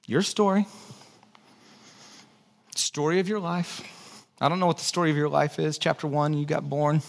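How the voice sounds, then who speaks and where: A man with a Texan accent preaching a conversational, animated sermon, dropping to hushed low lines and jumping into lively high asides. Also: moderate pace, gravelly. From Texas. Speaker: pastor. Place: church sanctuary.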